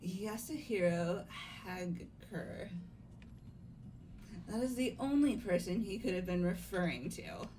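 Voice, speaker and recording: A woman voicing a cool, composed young woman in a fan game dub, formal, deliberate and detached. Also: medium pitch, animated, a little mellow, faint music behind, rough recording. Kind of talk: fan-dubbed visual novel acting.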